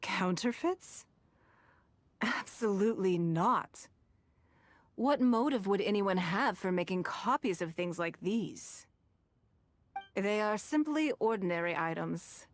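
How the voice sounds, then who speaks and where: An actress voicing a composed, shrewd and wealthy woman who hosts an auction in a game, speaking in a measured, faintly amused way. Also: mid-range voice, expressive, no echo. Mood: cool. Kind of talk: game voice acting.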